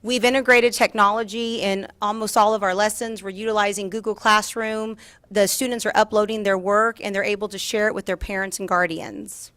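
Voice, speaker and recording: A woman's voice: medium pitch, flat delivery, a little fast, fairly bright tone, no echo. A woman giving a formal presentation as a school district administrator at a meeting. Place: school board meeting room.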